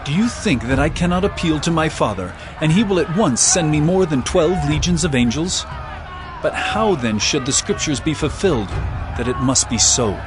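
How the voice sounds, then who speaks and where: Man playing solemn commanding teacher, raised pitch, emphatic declamation, audio Bible drama, music behind. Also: expressive, normal speed, close to an everyday voice. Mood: grave, firm. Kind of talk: dramatized scripture acting.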